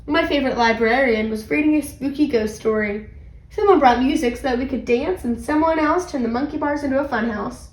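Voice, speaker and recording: A woman reading a children's story aloud in an animated, expressive way. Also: high voice, fairly quick, roomy sound. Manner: animated, acts out character dialogue. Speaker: read-aloud channel host.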